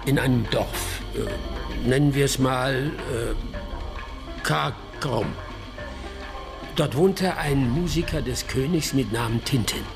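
A man playing a wily, unhurried village storyteller in a radio drama, speaking in a deliberate, teasing way. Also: mid-range voice, vocal fry, music in the background. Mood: absorbed storytelling. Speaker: actor in a radio play.

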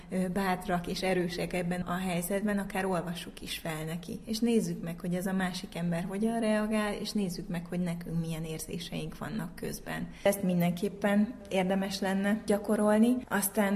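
A woman's voice: on the low side, darkish tone, slight background noise. A woman giving an explanatory talk, going step by step through exercises with everyday examples.

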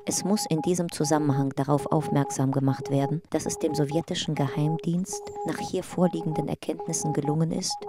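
An actress playing an impersonal reader of files in a radio drama, formal and clipped, like scripted documentary narration. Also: female voice, deep voice, steady tone, brisk, few pauses, loud music behind the voice, close to an everyday voice. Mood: official. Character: impersonal female reader of secret files and captions.

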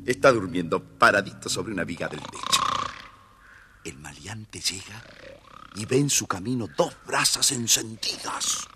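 A man tells a children's tale in a lowish voice with very lively intonation. He is playful and speaks straight to young listeners, with soft music behind. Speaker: storyteller narrator. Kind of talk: animated children's story narration.

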